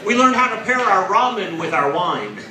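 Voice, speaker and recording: A man in his forties delivers a stand-up set in a fairly high voice, landing deadpan, self-mocking punchlines. He sounds a little off the mic, in an echoey club with a crowd laughing. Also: moderate pace, recorded from a distance. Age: 40s. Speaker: stand-up comedian. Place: comedy club stage with live audience.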